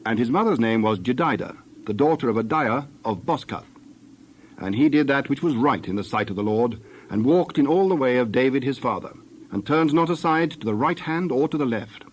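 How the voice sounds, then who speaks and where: Mid-pitched male scripture narrator. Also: expressive, fairly quick, slight background noise.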